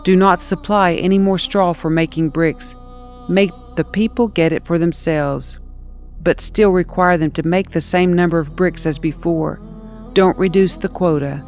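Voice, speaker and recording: A woman's voice: on the low side, fairly even intonation, normal speed, darkish tone, soft background music, close to an everyday voice. A woman voicing an arrogant, harsh Egyptian king who barks scornful orders in a dramatized scripture reading. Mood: stern, commanding. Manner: imperious, scornful, barking orders.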